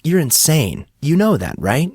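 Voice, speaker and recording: A man's voice: a little high, wildly swooping pitch, a little slow, darkish tone, breathy edge, no echo, voice pushed up, full character voice. An awkward, irritable teenage boy from a storybook, voiced by a man. He is blunt and sardonic, in an everyday voice recorded close and dry. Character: irritable, awkward teenage schoolboy who shuns attention.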